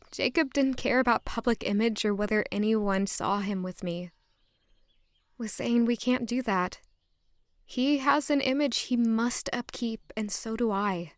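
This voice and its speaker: A woman's voice: on the high side, no room sound, studio quality. A woman playing an earnest young lady-in-waiting with a secret past in an audio drama. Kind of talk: audio drama dialogue and inner monologue.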